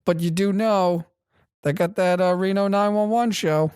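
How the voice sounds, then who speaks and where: A man's voice: high-pitched, steady tone, unhurried, a little mellow, clean tone. A man hosting a comedy radio show with casual, comic banter.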